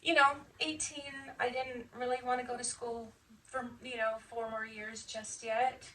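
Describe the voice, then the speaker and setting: An American woman in her fifties shares personal memories in a casual, chatty interview. Her voice sits a little high and she takes her time, pausing often. She is recorded a bit away from the microphone, with faint noise behind her. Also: level tone, sharp tone. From United States, Nevada and Michigan. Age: 50s. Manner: chatty, often chuckling, halting. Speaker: interviewee, military veteran. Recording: distant mic, faint background noise.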